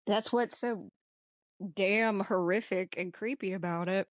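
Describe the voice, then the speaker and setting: Animated-sounding woman podcaster, close dry sound. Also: medium pitch, measured pace, dry recording. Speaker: podcast co-host. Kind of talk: casual movie discussion.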